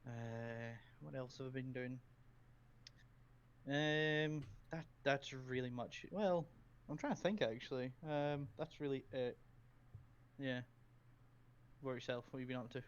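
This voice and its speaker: A man's voice: medium pitch, a little slow, long pauses, clean tone. Rambling Scottish man, slightly muffled. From Scotland. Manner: rambling, hesitant, lots of ums and giggles. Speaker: podcast guest, streamer. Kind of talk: casual chat, anecdotes.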